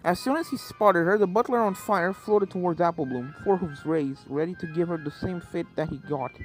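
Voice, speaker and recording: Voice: medium pitch, moderate pace, low-quality recording. A reader giving scripted narration of a fan fiction story. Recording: game music behind the voice.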